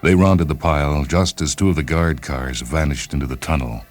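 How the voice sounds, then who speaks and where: A male storyteller with an exceptionally deep, bottom-register voice delivers scripted dramatic narration. Faint music plays beneath his reading. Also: moderate pace.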